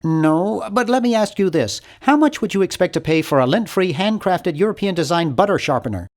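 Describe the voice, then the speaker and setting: Casting: nerdy young game hero, nasal, very animated intonation, timid, polite, hesitant, earnestly bookish. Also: male voice, sits high, fairly quick. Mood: earnest, fussy. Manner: awkward, hesitant, earnest and fussy. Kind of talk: video game character voice lines.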